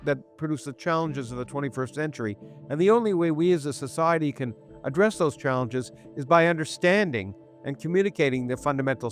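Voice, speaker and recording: A man hosting an interview podcast, talking in halting runs with many restarts and repeated words. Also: fairly high pitch. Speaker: podcast host. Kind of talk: interview podcast, intro then conversation. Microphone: remote interview setup.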